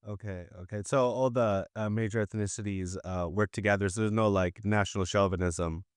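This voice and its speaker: A man hosts a casual podcast interview, asking short questions in a relaxed way with the odd "uh". His voice is on the low side and the recording is dry. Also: a little fast, smooth, no room sound. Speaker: podcast host.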